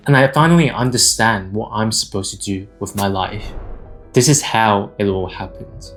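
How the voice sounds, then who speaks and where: A man's voice: on the low side, moderate pace, fairly bright tone. A man in his twenties from Hong Kong, telling his personal story to camera in a candid, conversational and informal way. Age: 20s. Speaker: video creator and AI agency founder. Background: acoustic guitar music.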